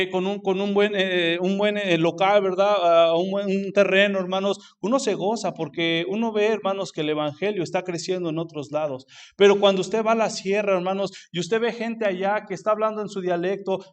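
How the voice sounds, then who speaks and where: A man preaching in church with a high, ringing voice. His carries a fervent, urgent missionary sermon. He keeps calling out to his brothers, and he stumbles over restarts as he pushes on. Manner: fervent preaching, repeats "hermanos" often, frequent restarts. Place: church sanctuary.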